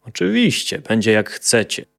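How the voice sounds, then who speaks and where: A man voicing a muscular, deliberate dwarf father, often exasperated, in a children's fairy tale. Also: lowish voice, sing-song, vocal fry, fairly bright tone, full character voice. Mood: calm.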